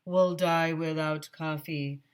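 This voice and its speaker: Lady doing a dazed zombie teacher for a kids story, slow desperate groany mumble. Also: low-pitched, fairly even intonation, clear, resonant tone. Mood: dazed craving.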